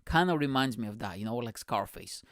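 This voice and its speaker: A young man from Bulgaria, a podcast host telling stories in a rambling, profane, animated way. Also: mid-range voice, a little fast, dry recording, clean studio sound. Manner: profane, rambling, animated, constant "you know what I'm saying". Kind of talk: casual storytelling monologue.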